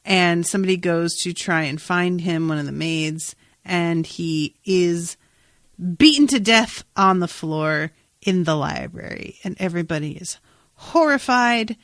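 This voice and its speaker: A woman's voice: lowish voice, a little slow, no echo. Casual, sweary woman podcaster, dry close sound. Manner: informal, sweary, expressive storytelling. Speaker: podcast host. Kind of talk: casual chat, recapping a TV episode.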